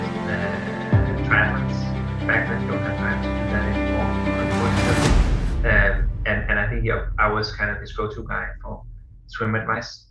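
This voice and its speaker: Danish-accented man, low and flat voice. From Denmark. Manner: hesitant, many fillers and restarts. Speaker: guest, company co-founder. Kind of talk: interview answers. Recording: echoey room, dull lo-fi sound.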